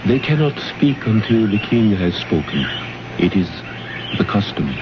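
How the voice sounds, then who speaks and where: Low, dignified, enigmatic alien guide. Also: male voice, very animated. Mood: calm, formal. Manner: formal, measured wording, reserved. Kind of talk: vintage radio drama acting. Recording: noisy, dull old radio broadcast recording.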